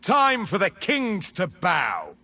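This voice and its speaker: Video game rebel mage, escaped prisoner, male: rough-voiced, dramatic, deliberate, with big swings in pitch. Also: huge pitch swings, sharp tone, full character voice. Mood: defiant. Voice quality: husky, rough. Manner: dramatic, deliberate, menacing delivery. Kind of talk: theatrical video game voice lines.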